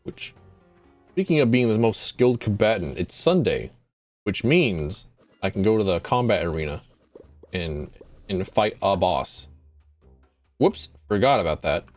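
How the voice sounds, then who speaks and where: A man's voice: on the low side, sing-song, measured pace, pauses often, darkish tone, dry recording, soft background music. A man streaming a video game, chatting casually in a rambling, wry way and often correcting himself. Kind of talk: casual gameplay commentary.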